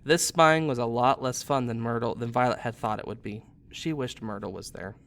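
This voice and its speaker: A man in his thirties reads a story aloud as a teacher would. His narration is expressive and sits at a medium pitch. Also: normal speed, gravelly.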